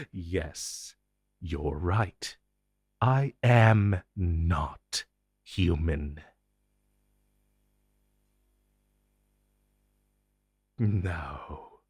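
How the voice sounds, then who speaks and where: A man playing an inhuman monster interrogator in an intense audio roleplay, taunting and condescending, with deliberate theatrical pauses. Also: deep voice, slightly rough, dry recording, studio quality, heavily acted. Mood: sinister amusement. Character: menacing male monster interrogator, inhuman, smug and possessive.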